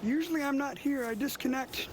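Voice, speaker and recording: A man's voice: very high voice, brisk. An American man, a street cleanup worker, chatting casually about his job outdoors. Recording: loud street and vehicle noise.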